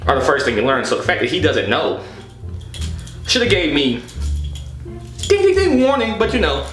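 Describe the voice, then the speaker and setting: A man's voice: a little high, normal speed. Guy vlogging a funny rant, super up and down voice, swears a lot, echoey room w music. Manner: animated, exasperated, profane, slangy with repeated "you know what I'm saying". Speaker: storytelling vlogger. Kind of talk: casual comedic storytelling.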